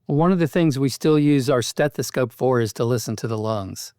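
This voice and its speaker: Clear-voiced man hosting a medical interview. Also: medium pitch, moderate pace, a little mellow, no echo, clean studio sound. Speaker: podcast host and medical journal editor.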